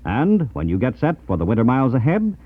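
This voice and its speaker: An American man reading scripted news and sponsor messages in a formal, measured 1950s radio announcer style. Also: medium pitch, wildly swooping pitch, no echo. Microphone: dry radio studio microphone. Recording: tinny, band-limited 1950s radio tape.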